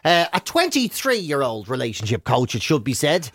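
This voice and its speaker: A man's voice: medium pitch, huge pitch swings, moderate pace, a bit bright, dry recording, studio quality. An Irish man co-hosting a radio show with comic, casual banter, mocking and rambling in colourful slang.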